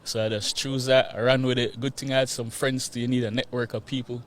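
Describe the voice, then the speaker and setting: A man's voice: medium pitch, little pitch movement, brisk. A man from Jamaica with a Jamaican accent, chatting as a guest in an interview, laughing often and repeating words as he goes. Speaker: guest, real estate investor. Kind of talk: casual interview chat.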